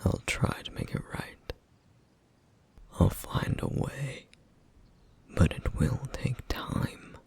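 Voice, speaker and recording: A man playing a somber, duty-bound, protective flame demon in an ASMR roleplay, speaking in a hushed, halting, formal way with a rough, breathy voice. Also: close to an everyday voice. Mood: solemn, gentle. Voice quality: breathy, husky, rough whisper. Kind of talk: ASMR roleplay, intimate scripted monologue.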